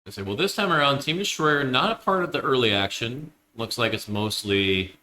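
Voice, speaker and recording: A young man doing live game commentary, quick and joking with self-deprecating asides. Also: mid-range voice, animated, pushed and bright, a little hiss or hum. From Illinois, United States. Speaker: esports commentator.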